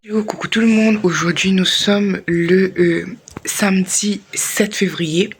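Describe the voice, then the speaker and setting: A woman's voice: mid-range voice, steady tone, slow, a little hiss or hum. A woman with a sore throat, chatting casually to camera in a rambling vlog with many restarts. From Réunion. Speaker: vlogger.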